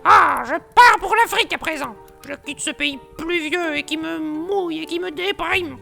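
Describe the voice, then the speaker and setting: A tiny green frog girl with a shrill, sky-high squeak and a crackly, gravelly edge, as a male narrator puts her on. She whines and grumbles her way through a children's storybook cartoon, every line bright and sharp, drawn out slowly. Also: soft background music, full character voice. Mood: eager. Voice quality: squeaky, whiny. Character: small restless female green frog, fed up. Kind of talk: storybook character voice.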